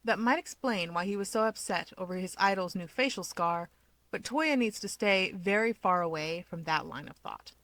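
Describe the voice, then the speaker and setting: A woman reading a scripted story aloud as an expressive narrator. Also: mid-range voice, normal speed, rough recording. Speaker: fiction narrator.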